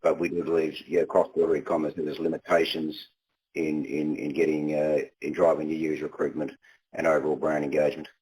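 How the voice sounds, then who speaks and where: A man's voice: very deep voice, level tone, a little fast, a little mellow, poor audio. A man speaking as a company executive on an earnings call, answering in corporate phrasing with frequent halts and repeated words.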